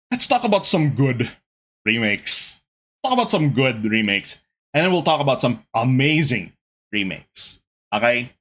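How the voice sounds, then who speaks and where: A young man hosting a casual gaming podcast, talking to his chat in an animated, exasperated way. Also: mid-range voice, a little slow, pauses often. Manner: animated, exasperated ranting with laughs. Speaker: podcast host.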